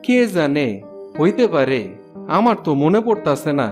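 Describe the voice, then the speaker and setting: High-pitched humble village fisherman, acted by a man. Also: expressive, moderate pace, darkish tone, faint music behind, natural voice. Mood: resigned, homely. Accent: Bangladeshi colloquial Bengali. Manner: plain rustic speech. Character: poor, humble village fisherman, devoted husband. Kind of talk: cartoon folk-tale dialogue.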